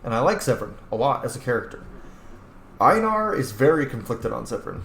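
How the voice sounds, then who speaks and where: A man's voice: medium pitch, big pitch swings, a little fast, gravelly, a bit bright. A man hosting a roleplay let's play, giving informal, reflective game commentary with some swearing. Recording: roomy echo, faint background noise.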